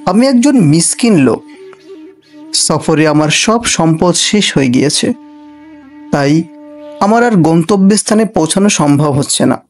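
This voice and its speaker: A solemn guard from a moral cartoon, disguised as a destitute wayfarer. The voice sits fairly high for a male and speaks with earnest, deliberate phrasing over soft music. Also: animated. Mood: pleading. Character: royal guard disguised as a poor traveller, solemn pleader. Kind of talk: Bengali cartoon character acting.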